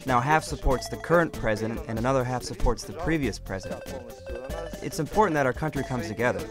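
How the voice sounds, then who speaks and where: Calm man in voice-over. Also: medium pitch, fast, over music. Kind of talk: documentary voice-over.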